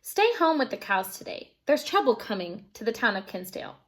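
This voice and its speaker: A woman voicing a worried gentleman master who gives grave warnings in a children's storybook. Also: a little high, sing-song, brisk. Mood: fearful warning. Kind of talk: children's storybook read-aloud.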